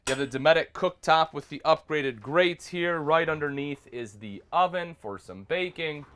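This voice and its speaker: A man's voice: a little high, moderate pace, a bit bright, slight background noise. A man in his thirties, an RV product specialist, giving an upbeat walkthrough tour inside a small travel trailer and calling viewers folks.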